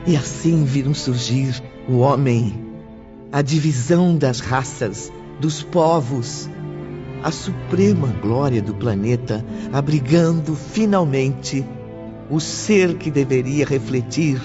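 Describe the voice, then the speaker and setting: A man narrating an audiobook from a script in a solemn, deliberate way. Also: mid-range voice. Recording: muffled, clear background music.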